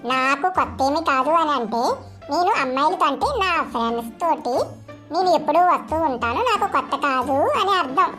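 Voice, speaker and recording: A woman's voice: sits high, animated, a little fast, sharp tone, faint music behind. A woman voicing a coy, chatty young bride-to-be in a comic cartoon, sounding innocent and blithe.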